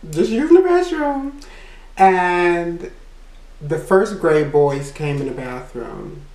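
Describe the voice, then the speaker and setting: A man with a high voice telling a personal story in a casual, rambling way, full of "you know" and quick laughs. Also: big pitch swings, darkish tone, reverberant, recorded from a distance. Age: adult. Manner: laughs between remarks. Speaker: vlogger.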